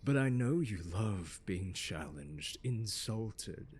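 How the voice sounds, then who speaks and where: A man playing a pompous, condescending genius scholar in an ASMR roleplay, lecturing a pupil in a haughty, mocking, deliberate and articulate way. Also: on the low side, expressive, darkish tone, slightly rough, full character voice. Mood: teasing, smug. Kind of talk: ASMR roleplay monologue, second-person lecture.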